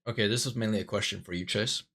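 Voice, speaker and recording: A man hosting a casual online chat, his voice sitting a bit low. He asks loose, probing questions full of fillers and restarts, speaking over a voice call. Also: quick pace, bright, forceful tone. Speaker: interviewer and host.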